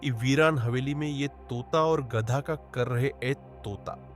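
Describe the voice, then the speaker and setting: A man's voice: mid-range voice, takes it slowly, soft background music, lightly acted. A man voicing a poor, hardworking young village man who speaks in rustic village speech and exclaims often, in a cartoon story. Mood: astonished.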